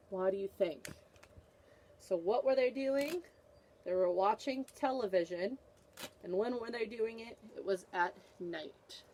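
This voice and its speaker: A woman's voice: mid-range voice, a little mellow, a little hiss or hum. A woman teacher reading a picture book aloud to children, with an instructive manner, repeating questions to prompt her young listeners.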